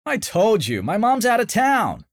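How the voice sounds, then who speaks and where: A man's voice: sits high, huge pitch swings, measured pace, pitched above the performer's own voice, heavily acted. A man voicing a reckless, cocky teenage boy who hollers brashly behind the wheel. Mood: confident.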